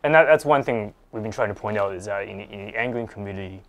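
A man's voice: fairly low pitch, fast talker. A man in his forties hosting a casual conversation in an office, agreeable and often repeating his words. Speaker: interviewer, host. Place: small office, indoors.

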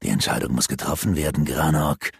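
A man voicing a scornful, treacherous male wizard who taunts his foe in slow, drawn-out phrases, with a gravelly, husky voice. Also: extremely low pitch, no room sound, clean studio sound, pitched below the performer's own voice, full character voice. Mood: mocking.

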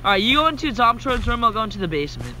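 A man's voice: high voice, sing-song, racing, pushed and bright, a little hiss or hum, exaggerated voice, poor audio. A young man playing a casual, exclamatory hero in an amateur Minecraft roleplay movie. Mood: determined. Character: young male hero leading a zombie-fighting mission.

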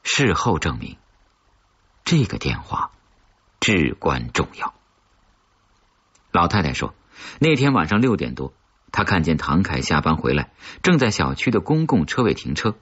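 A man narrating a crime thriller audiobook in a husky, measured, dramatic storytelling manner. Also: deep voice, sing-song, fairly bright tone. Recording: slightly dull, lo-fi audio.

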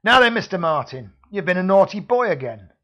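A man's voice: high voice, very animated, a little fast, a bit bright, pitched above the performer's own voice. A man voicing a menacing male secret-service heavy who bullies with mock politeness, on a dull lo-fi recording. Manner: mock-polite, sneering, threatening.

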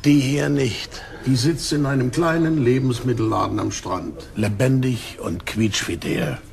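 An actor playing a dry, sardonic police detective in a crime radio play, laconic and gravelly. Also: male voice, mid-range voice, measured pace. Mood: dry, teasing. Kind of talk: German crime radio drama acting. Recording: loud music behind the voice.

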